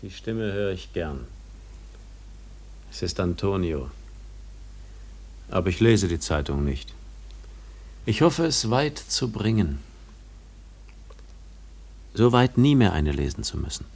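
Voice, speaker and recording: An actor playing a withdrawn, guarded recluse in a German radio play, speaking in a reticent, contemplative and measured way. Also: male voice, sits low, very animated, gravelly, a little mellow, full character voice. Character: withdrawn, guarded male recluse shunning the world.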